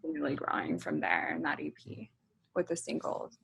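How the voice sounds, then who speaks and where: A woman in her thirties who grew up in Hong Kong talks through interview answers, pausing often with ums and likes. Her voice is husky with a gravelly edge, yet bright in tone and pitched a little low. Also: poor audio. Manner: relaxed, thinks aloud with many ums and likes. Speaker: musician being interviewed.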